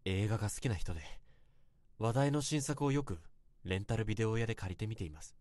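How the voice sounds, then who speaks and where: A man's voice: on the low side, fairly quick, darkish tone, no echo, studio quality, lightly acted. An actor playing an earnest, self-reproaching young man who confesses his regrets in an emotional radio drama monologue. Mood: bittersweet. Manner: emotional first-person confessing, swinging into outbursts.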